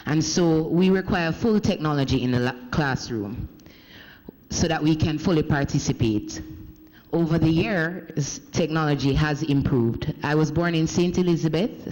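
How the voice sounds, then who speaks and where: Jamaican man, slightly high voice, formal address in a reverberant hall, measured delivery with frequent self-corrections. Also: darkish tone. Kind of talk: formal parliamentary speech, interpreted live. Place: parliament chamber. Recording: echoey parliament hall.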